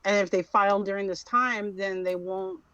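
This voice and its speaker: A woman's voice: mid-range voice, normal speed, a bit bright, dry recording. Lady podcast cohost chatting casually, dry close mic, kinda muffled lo-fi. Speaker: podcast co-host. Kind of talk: casual chat. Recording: dull lo-fi mic sound.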